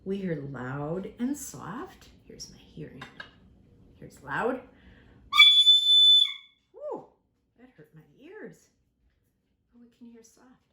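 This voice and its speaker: Animated woman teaching young children, echoey room. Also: mid-range voice. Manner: slow, deliberate, repeating key words; animated, acts out examples with props. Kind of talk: simple demonstrative lesson for young children. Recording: echoey room with faint background noise.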